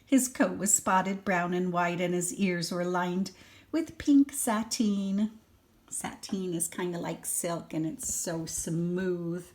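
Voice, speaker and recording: Older woman, grandmotherly storybook reader, unhurried, with gentle murmured asides to a little listener. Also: fairly low pitch. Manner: gentle explaining to a small child, murmured asides. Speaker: grandmother reading a picture book aloud. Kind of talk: children's story read-aloud with chatty asides.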